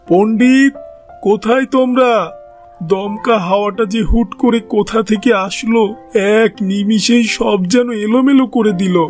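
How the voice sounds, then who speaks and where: A man's voice: high voice, a little mellow. A man voicing a desperate, pleading king in a fairy-tale cartoon, plaintive and humble.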